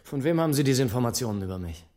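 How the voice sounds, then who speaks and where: An actor playing a brash, evasive small-time crook and gigolo in a dubbed 1950s thriller, with a wry, dramatic delivery. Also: male voice, mid-range voice, lively intonation, rapid-fire, a little mellow, dry recording, clean studio sound, lightly acted. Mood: guarded defiance. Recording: film soundtrack with clear music.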